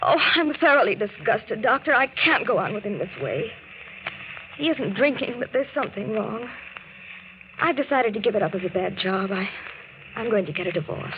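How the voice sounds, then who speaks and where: A woman's voice: medium pitch, expressive, moderate pace, close to an everyday voice. A woman playing a distressed, imploring wife and mother in 1940s radio drama style, with a melodramatic, protesting manner. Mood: defensive, weary. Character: distressed, pleading middle-class wife and mother. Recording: muffled, very noisy old radio recording.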